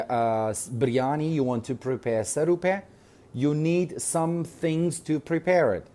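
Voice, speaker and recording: A man's voice: on the high side, darkish tone. Kurdish-accented man teaching English. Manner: patient, repeats words and spells them out letter by letter; checks in with frequent "okay?". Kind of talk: online language lesson.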